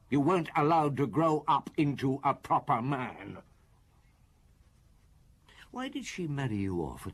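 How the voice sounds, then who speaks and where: An actor playing a shrewd middle-aged police chief in a radio drama, interrogating a suspect in an unhurried, cutting way with a husky voice. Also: male voice, medium pitch, heavily acted.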